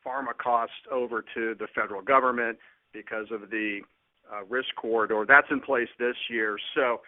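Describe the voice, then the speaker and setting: A man, a company finance executive, answering plainly in halting phrases on an earnings call. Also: medium pitch, steady tone. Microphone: conference call line. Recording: narrow phone-line sound.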